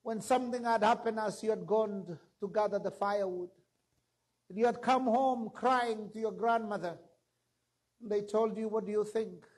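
A man's voice: sits high, moderate pace, rough recording. A middle-aged man preaching a sermon from a church stage into a handheld mic, emphatic and rising to exclamations. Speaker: pastor.

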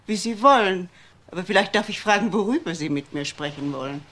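A woman's voice: medium pitch, animated, a little fast, a bit bright, lightly acted. A woman playing a composed, courteous grandmother, a well-to-do lady in a German crime radio play. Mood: composed, insistent. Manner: polite, composed, talkative.

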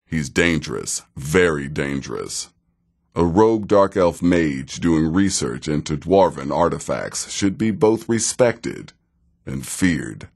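An actor playing a seasoned, sardonic assassin in a video game, speaking in a measured, dry way with wry menace. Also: male voice, extremely low pitch, big pitch swings, gravelly, a little mellow, heavily acted. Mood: measured, grave. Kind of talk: video game character dialogue.

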